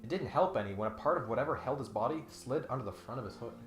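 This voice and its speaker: A man's voice: mid-range voice, expressive, very fast, roomy sound, faint music behind. A man hosting with comic commentary and dramatic reading, in a mock-serious, sarcastic manner. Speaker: host.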